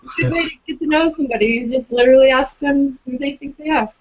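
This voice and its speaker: A woman's voice: high-pitched, fast, muffled recording. A woman hosting a casual podcast interview, putting questions to a guest in a conversational way.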